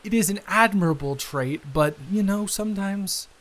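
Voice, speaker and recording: A man's voice: high-pitched, normal speed, fairly bright tone, voice pushed up. Man playing a musing, hesitant eldest brother. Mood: musing, mildly frustrated. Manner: conversational, hesitant, rambling. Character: responsible eldest son, friend's brother, musing and wry. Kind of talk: improvised intimate audio roleplay.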